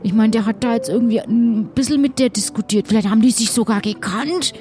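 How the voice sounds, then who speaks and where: A woman's voice: fairly high pitch, fairly quick, warm tone, soft background music, close to an everyday voice. A woman playing a chatty, loyal, naive male pirate in an improvised adventure, rambling along colloquially and hesitantly. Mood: chatty, cheerful.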